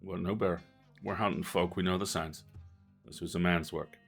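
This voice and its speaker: A man's voice: low-pitched, steady tone, moderate pace, slightly rough, faint music behind, voice pushed down. A man voicing an aggrieved rural farmer in a game, plainspoken and folksy. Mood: grim, certain. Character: aggrieved rural farmer man mourning stolen prize pumpkin. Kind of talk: reading game dialogue aloud.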